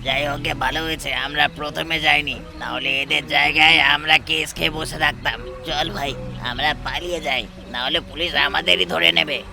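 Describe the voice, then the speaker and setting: A man voicing a wary, surly career thief in a cartoon, gruff and suspicious. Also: on the high side, fairly even intonation, normal speed, hardly any pauses, over music. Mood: surly, alarmed. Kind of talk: cartoon voice acting.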